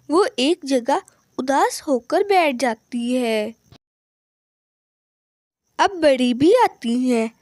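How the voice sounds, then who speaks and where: A woman's voice: high voice, darkish tone, no echo, studio quality. A woman narrating a scripted children's story.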